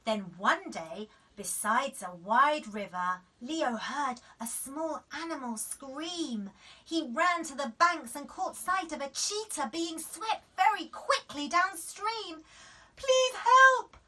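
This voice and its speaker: A woman storyteller giving an expressive reading of a children's bedtime story. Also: sits high, slow pace, recorded from a distance.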